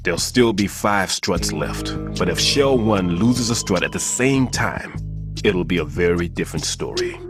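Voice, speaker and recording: A grave older bomb expert. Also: male voice, low voice, lively intonation. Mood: steady, expert. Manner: grave, confessional, measured. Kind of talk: video game cutscene acting. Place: recording studio. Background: game score music.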